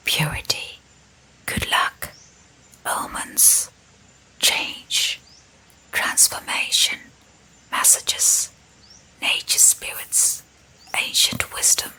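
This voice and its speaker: A woman reading a scripted spiritual ASMR narration in a breathy whisper, in short, deliberate phrases. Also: sharp tone.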